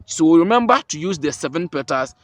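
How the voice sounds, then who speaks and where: A man's voice: fairly high pitch, lively intonation, fairly quick, no echo. A man with a Ghanaian accent, hosting an herbal remedy channel, explains a ritual step by step and repeats every point with emphasis. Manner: repetitive, emphatic, restates each step. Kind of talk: step-by-step spoken instructions.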